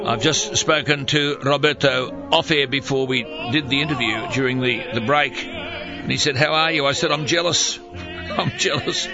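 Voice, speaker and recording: A man's voice: medium pitch, very animated, normal speed, dull audio, music in the background. A man hosting a radio interview, effusive and enthusiastic. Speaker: radio host.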